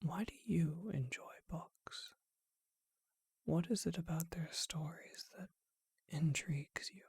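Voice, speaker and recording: A performer voicing a charming, bookish ancient vampire in a whispered ASMR roleplay, speaking in a refined, unhurried, musing way with a breathy voice. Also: medium pitch, darkish tone, no echo, clean studio sound, heavily acted. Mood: intimate, reflective.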